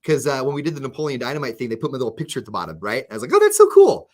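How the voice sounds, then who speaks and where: A man radio host in casual chat with his co-host, animated, often restarting his sentences. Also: mid-range voice, racing.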